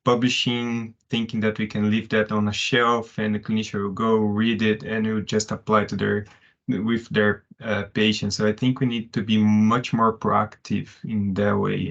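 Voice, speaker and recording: A man's voice: on the low side, vocal fry. A man from Brazil with a Brazilian Portuguese accent, a research fellow explaining his work in an online presentation. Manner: explanatory, occasionally searching for words. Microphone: video call. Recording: lo-fi video call audio.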